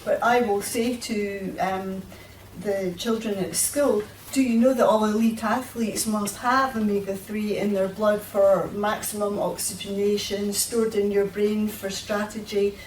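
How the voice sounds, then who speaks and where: A woman's voice: medium pitch, steady tone, a little slow, hardly any pauses, a little mellow, a little hiss or hum. Woman, enthusiastic, anecdotal, panel discussion, distant mic, echoey room. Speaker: panelist promoting salmon. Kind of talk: roundtable discussion. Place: event room.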